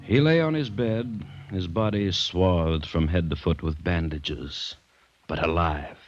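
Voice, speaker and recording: An actor playing a grave companion who narrates in solemn, dramatic old American radio drama style. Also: male voice, low voice, takes it slowly. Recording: dull old radio recording, music behind.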